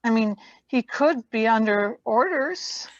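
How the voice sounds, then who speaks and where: Radio play: woman as loyal, curious girl companion, higher pitch, lively inflection. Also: slow pace, no room sound, highs cut off. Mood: inquisitive. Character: earnest, inquisitive, loyal young female companion. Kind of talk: live script reading, radio play.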